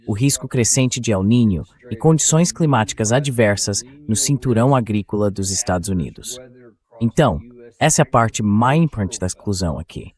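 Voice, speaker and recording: A man's voice: on the low side, a little mellow. A man as the translated voice of an interview host, talking through political commentary in a conversational, wordy way.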